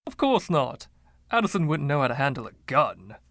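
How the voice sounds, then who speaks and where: A man's voice: mid-range voice, big pitch swings, normal speed, creaky, a bit bright, no echo, studio quality. A man voicing a boastful, giggly 1920s dandy in a storybook reading, with a silky voice and theatrical, teasing old-fashioned slang. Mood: teasing, dismissive.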